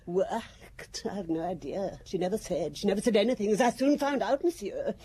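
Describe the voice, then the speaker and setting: An actress playing a grudging, haughty old landlady in a radio drama, husky, indignant and self-justifying. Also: medium pitch, moderate pace, mellow, close to an everyday voice. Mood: disdainful. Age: older.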